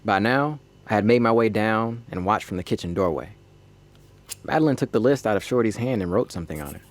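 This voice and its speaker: An actor playing a sardonic ghost who narrates a first-person audio drama in a wry, folksy storytelling manner. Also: male voice, on the low side, lively intonation, brisk, close to an everyday voice. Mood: suspicious, wry. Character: sardonic male ghost narrating his own haunting.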